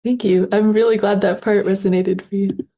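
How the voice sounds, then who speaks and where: A woman's voice: mid-range voice, fairly even intonation, normal speed, dark tone. A woman speaking as an author guest in a casual interview, reflective and thoughtful, often adding small conversational qualifiers.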